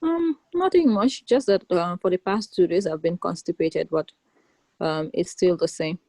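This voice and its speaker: A woman role-playing a patient in a mock exam, speaking haltingly over a video call with many ums. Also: fairly low pitch, warm tone, no echo.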